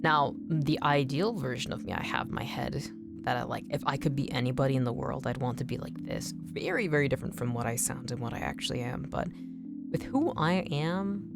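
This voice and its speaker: Low raspy-ish nerdy girl streamer rambling to chat, music going behind her. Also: brisk. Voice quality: slightly raspy, a bit nerdy and dorky. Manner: rambling, encouraging, lots of "like" and restarts. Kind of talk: casual chat to stream viewers while drawing. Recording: music playing in the background. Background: music playing.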